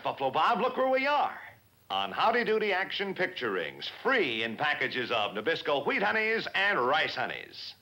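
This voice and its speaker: Perky puppet boy selling cereal, bright sharp voice, swoopy. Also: full character voice. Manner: upbeat sales pitch. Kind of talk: 1950s TV commercial.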